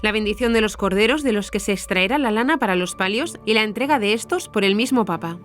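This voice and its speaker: A woman from narrates the news from a script. Her pitch is average for a woman, and there is faint music behind her. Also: quick pace. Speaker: news presenter. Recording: music under the voice.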